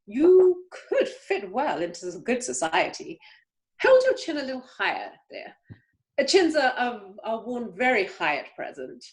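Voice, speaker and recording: A woman's voice: a little high, wildly swooping pitch, a little slow, rough recording. A woman playing a snobbish, imperious older upper-class aunt in an amateur play reading, haughty and emphatic, stumbling now and then. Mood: condescending, appraising. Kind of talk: amateur play read-through.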